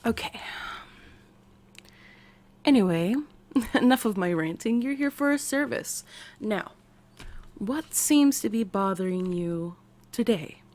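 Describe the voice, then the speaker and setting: A woman with an American accent voicing an eccentric, excitable woman scientist playing therapist in an ASMR roleplay, with quirky, halting delivery. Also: medium pitch. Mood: scatterbrained, amused. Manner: quirky, halting, drawn-out delivery with sudden swoops. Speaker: roleplay voice performer. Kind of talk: ASMR character roleplay, speaking to the listener.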